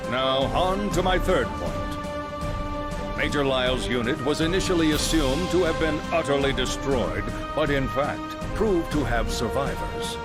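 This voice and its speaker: A man voicing an erudite, composed scientist in a video game, explaining things in a formal, measured, lecturing way. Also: mid-range voice, animated, natural voice. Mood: measured, instructive. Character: calm, erudite male scientist lecturing on grave theories. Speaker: character in a video game story scene. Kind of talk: dramatic game voice acting, expository lecturing. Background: music.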